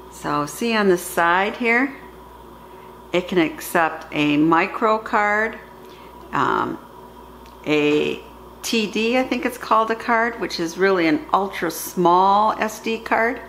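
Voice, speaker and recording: A woman's voice: medium pitch, fairly bright tone. A middle-aged woman giving a casual hands-on product demonstration in a plain-spoken, explanatory way, recorded in a home kitchen with the camera a few feet away. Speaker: product reviewer. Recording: roomy echo, faint background noise.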